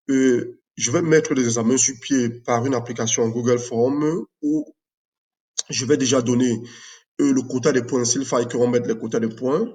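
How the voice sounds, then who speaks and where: Math teacher guy, mellow low-ish voice, slow and full of ums. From Cameroon. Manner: measured, hesitant with many fillers. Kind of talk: video announcement, unscripted.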